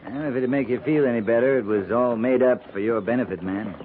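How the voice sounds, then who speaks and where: Male actor as polite frontier cavalry officer, dry, medium pitch, 1950s radio drama, tinny, noisy. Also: quick pace, close to an everyday voice. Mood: courteous. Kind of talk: 1950s radio western acting.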